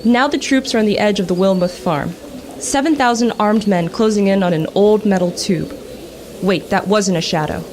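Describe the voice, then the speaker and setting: A woman's voice: mid-range voice, moderate pace, lightly acted. A woman playing an eyewitness field reporter in a 1930s-style radio drama, describing the scene with rising alarm. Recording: student radio play with clear background noise.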